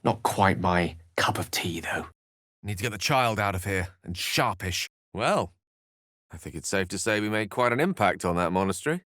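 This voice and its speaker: An actor playing a learned, wry wizard adventurer in a video game, with a British accent and an eloquent, theatrical way of talking. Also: male voice, low-pitched, lively intonation, normal speed, fairly bright tone, no room sound, studio quality. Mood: wry, measured. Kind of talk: video game character voice acting.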